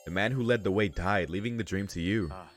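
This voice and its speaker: A man reading a visual novel aloud as a let's play host, in measured narration with casual, slangy asides. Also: deep voice. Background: music.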